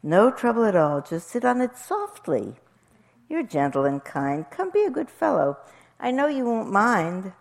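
A flighty storybook bird pleads in a voice pitched well up high, a woman acting it out. She coaxes and wheedles in a breezy sing-song. She sounds sweetly self-serving. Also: sing-song, a little slow, vocal fry. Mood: coaxing.

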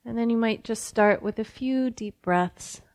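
A woman leading a guided meditation as a teacher, speaking gently and unhurriedly with pauses. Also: fairly high pitch, dry recording.

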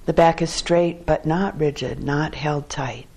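Woman leading a meditation, really slow and calm, short phrases w long gaps. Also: low-pitched, husky. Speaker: meditation teacher. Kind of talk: guided meditation instruction.

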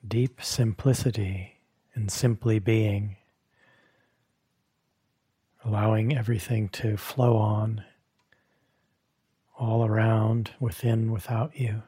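Slow, husky man guiding meditation. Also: fairly low pitch, flat delivery. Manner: gentle, unhurried, long pauses between short phrases. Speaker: meditation teacher. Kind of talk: guided meditation. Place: meditation hall at a retreat.

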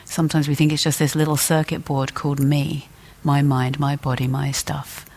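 A woman teacher leading a guided meditation in a gentle, deliberate way, leaving long pauses between phrases. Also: low-pitched, level tone.

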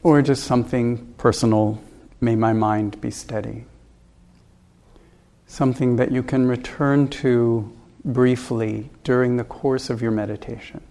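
Man, meditation teacher, slow pace, creaky mellow tone, calm gentle guidance, unhurried pauses. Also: medium pitch. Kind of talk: guided meditation instruction.

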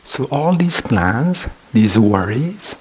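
A man guiding a meditation as a teacher, speaking in short, measured phrases with pauses between them. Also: medium pitch, sing-song, darkish tone, breathy edge, poor audio. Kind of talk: guided meditation talk.

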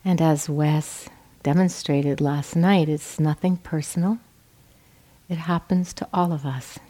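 A woman teaching meditation, giving gentle, measured guidance with pauses between phrases. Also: low voice, steady tone. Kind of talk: guided meditation instruction.

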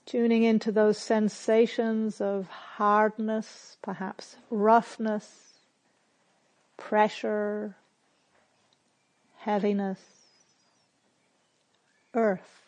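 A woman leading a guided meditation as a teacher, speaking gently and with measured, spare phrases separated by long silences. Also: medium pitch, flat delivery. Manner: gentle, measured, softly instructive.

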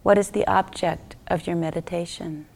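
A woman leading a guided meditation as a teacher, speaking gently in short unhurried phrases that trail off softly into near-whisper. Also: on the low side, steady tone, creaky.

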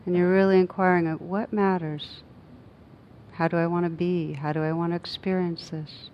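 A woman guiding a meditation as a teacher, speaking gently and unhurriedly in short phrases with long pauses. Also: flat delivery. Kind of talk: guided meditation. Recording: dull muffled recording with faint rumbling noise.

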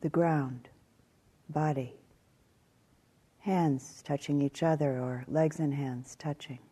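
A woman teaching guided meditation in a calm, measured way, leaving long pauses between short phrases. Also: low voice, slightly rough.

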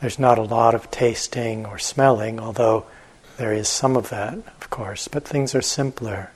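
A man leads a guided meditation as its teacher. His voice is husky and sits at a medium pitch. He speaks very slowly and gently, leaving long, unhurried pauses between short phrases.

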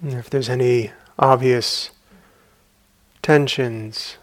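A man guiding a meditation as a teacher, speaking calmly and unhurriedly in short phrases with long pauses. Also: mid-range voice, no room sound. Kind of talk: guided meditation.

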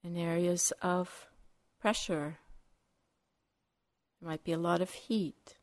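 A woman teaching a guided meditation in measured, short phrases separated by long pauses. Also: lowish voice, fairly even intonation, a little mellow.

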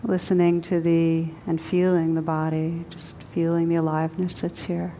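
A woman guides a meditation in a slightly low, mellow voice. Her delivery is gentle, soothing and unhurried, with very little rise and fall and long pauses between phrases. A faint rumbling noise sits under the recording. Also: monotone, muffled recording. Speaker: meditation teacher leading a guided meditation.

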